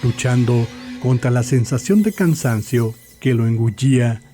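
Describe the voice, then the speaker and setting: Mellow male narrator of dark fiction. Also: mid-range voice, lively intonation, measured pace. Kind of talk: scripted literary narration of a horror story. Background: faint music.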